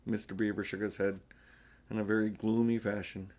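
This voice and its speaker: A man's voice: on the low side, flat delivery, fairly quick, darkish tone. A man narrating a children's story as an audiobook reader.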